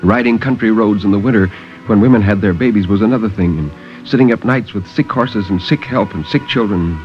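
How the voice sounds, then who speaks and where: A man narrating a story in a theatrical 1950s radio style with measured pauses. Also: on the low side, soft background music. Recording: dull vintage 1950s radio recording.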